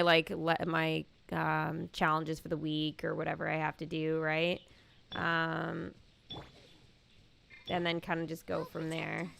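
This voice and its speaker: A woman hosting a live game stream in casual, excitable chat, thinking aloud as she goes. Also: low-pitched, vocal fry, slight background noise. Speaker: livestream host, gamer.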